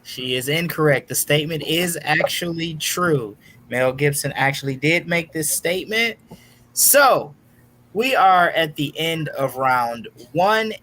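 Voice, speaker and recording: A man hosting a casual online trivia game show, announcing questions and results in a deliberate, drawn-out way. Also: a little high, bright, forceful tone.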